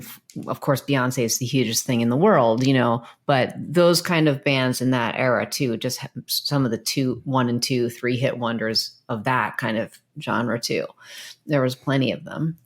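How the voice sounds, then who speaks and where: A woman's voice: rumbling low, unhurried. A woman co-hosting a podcast, chatting informally in loose phrases as she thinks aloud. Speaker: podcast co-host. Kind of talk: casual chat.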